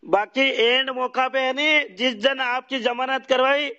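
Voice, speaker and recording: A man's voice: very high-pitched, fairly even intonation, a little slow, bright, forceful tone. A dull, lo-fi phone recording carries a man. He rants in an aggrieved way, going back over his points in a heated conversation. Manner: aggrieved, ranting, repetitive. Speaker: phone call participant. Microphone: telephone.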